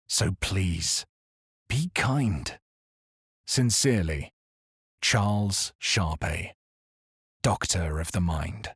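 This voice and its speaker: An actor playing an earnest, weary exiled therapist, speaking solemnly and deliberately in a dramatic audio-fiction monologue. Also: male voice, lowish voice, slightly rough, no echo, clean studio sound, full character voice. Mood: solemn, defiant pleading.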